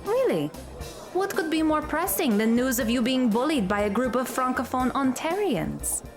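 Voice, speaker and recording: A woman's voice: sits high, big pitch swings, moderate pace, music in the background. A woman playing a blunt, touchy teenage schoolgirl in an audio drama, with a dry, cutting delivery. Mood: sardonic.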